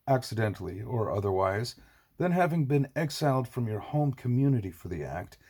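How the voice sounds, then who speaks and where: A man voicing a bitter, scornful nobleman in an audiobook, speaking forcefully and mockingly in a husky voice. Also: mid-range voice, voice pushed up. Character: bitter, scornful, wealthy half-Trojan man.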